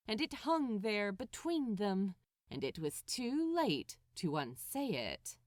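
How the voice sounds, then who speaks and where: Casting: female fiction narrator, fairly high voice, slow and deliberate, dramatic delivery, dry close sound. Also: a little mellow, no echo. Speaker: podfic narrator. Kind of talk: expressive scripted story narration.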